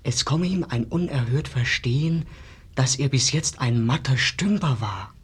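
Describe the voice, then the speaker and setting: Breathy, solemn young messenger. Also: male voice, mid-range voice, expressive, measured pace. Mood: anxious awe. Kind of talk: 1950s German radio verse drama, declaimed.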